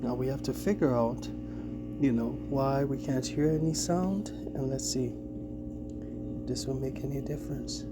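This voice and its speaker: A man's voice: medium pitch, normal speed, loud music behind the voice. A man casually narrating a hands-on demonstration and repair of vintage gear, thinking aloud as he explains. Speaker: vintage tech presenter.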